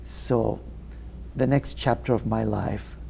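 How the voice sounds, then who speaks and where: Male guest, slow and measured, gravelly and dark, hesitant. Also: lowish voice, steady tone, a little hiss or hum, low-quality recording. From Russia. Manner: slow, thoughtful, many hesitations. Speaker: guest pianist. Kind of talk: interview answers.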